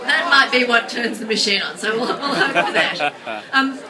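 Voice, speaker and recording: A woman's voice: fairly high pitch, very animated, moderate pace. A woman hosting a live restaurant event, chatty and lightly laughing, with filler ums. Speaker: event host. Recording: distant mic, crowd noise.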